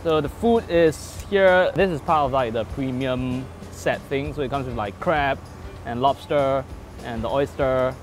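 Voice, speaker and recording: A man's voice: mid-range voice, a little slow. Laid-back Singaporean male food host. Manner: relaxed, playful, joking. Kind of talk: casual food vlog chat. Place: outdoors at a seaside restaurant. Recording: background music under the voice.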